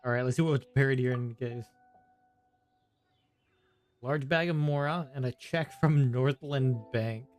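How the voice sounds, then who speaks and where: A man's voice: medium pitch, measured pace, pauses often, soft background music. Man casually commentating on a game. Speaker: gaming streamer.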